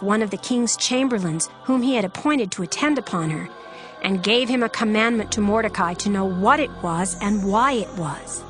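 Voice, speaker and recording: Female narrator: solemn, measured scripture storytelling, medium pitch, light musical bed. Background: background music.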